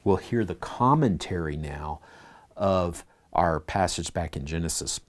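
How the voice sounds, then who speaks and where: A man's voice: deep voice, expressive, measured pace. A man teaching a church Bible class, reading scripture aloud and putting earnest questions to his listeners.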